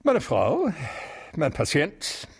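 An actor playing a resigned, courteous but authoritative doctor in a radio drama, giving instructions matter-of-factly. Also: male voice, a little high, huge pitch swings, very slow, gravelly, breathy edge, exaggerated voice. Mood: curt.

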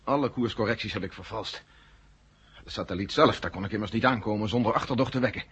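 A man's voice: on the low side, fast talker, fairly bright tone, breathy edge, dull audio, full character voice. An actor playing a grave, proud male military general in a 1970 radio play, speaking in a formal, weighty manner. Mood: troubled.

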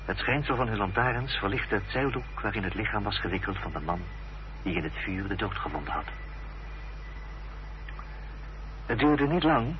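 Radio drama lead: actor as earnest gentleman narrator, deliberate suspenseful delivery, dull vintage sound. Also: fairly low pitch, a little hiss or hum, lightly acted. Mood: sombre. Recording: dull, faintly humming old radio recording.

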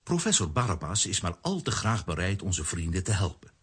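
A man's voice: deep voice, sing-song, a little fast, fairly bright tone, husky, full character voice. A man giving scripted narration as the storyteller of a children's audio drama.

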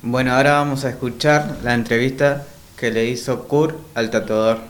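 A man's voice: mid-range voice, fairly even intonation, normal speed, soft background music. A man presenting a community radio programme in a casual way, introducing segments to listeners.

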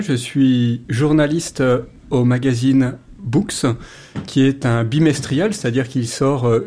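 A man's voice: medium pitch, mellow. A man, a journalist, answering interview questions on the radio with frequent hesitations. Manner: hesitant, many fillers. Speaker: journalist guest.

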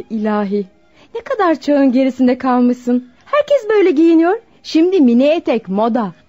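A woman's voice: high voice, big pitch swings, unhurried, warm tone, faint music behind, heavily acted. An actress playing a modern, rich young woman in a radio drama, playful, coaxing and coquettish. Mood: pouting, coaxing. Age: young adult. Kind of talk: Turkish radio drama acting. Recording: dull, lo-fi radio drama recording.

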